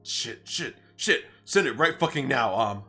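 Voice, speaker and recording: A man's voice: medium pitch, expressive, measured pace, sharp tone, soft background music. A young man co-hosting a let's play with a stuffy nose, bantering casually and cursing through joking rants. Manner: swears a lot, joking rants. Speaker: co-host.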